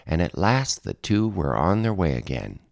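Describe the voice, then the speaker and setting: A man narrating a children's picture book as an expressive read-aloud. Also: deep voice, normal speed, vocal fry, no echo, clean studio sound. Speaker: storybook narrator.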